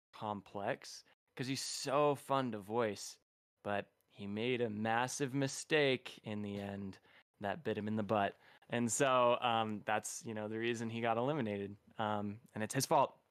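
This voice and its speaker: Easygoing man with a smooth, low voice. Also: steady tone, slow, dry recording, clean studio sound. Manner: easygoing, enthusiastic, laughs while talking. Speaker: voice actor guest. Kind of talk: casual live-stream Q&A.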